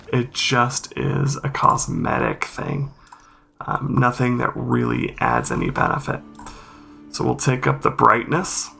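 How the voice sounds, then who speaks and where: A man's voice: medium pitch, gravelly, bright, forceful tone. A man hosting a game accessibility review, explaining things informally and thinking aloud as he goes. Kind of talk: informal spoken walkthrough and review commentary.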